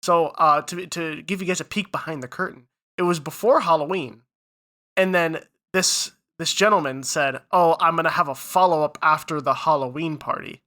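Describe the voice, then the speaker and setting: A man's voice: a little high, moderate pace, sharp tone. A man hosting a casual podcast chat, sniffly from a head cold, upbeat and running the intros and plugs. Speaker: podcast co-host.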